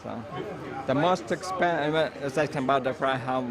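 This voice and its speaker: A man from Russia with a Russian accent, talking casually and haltingly as he searches for words in English. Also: mid-range voice. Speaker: engraver, coin carver. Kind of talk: casual interview talk. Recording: busy outdoor crowd chatter behind.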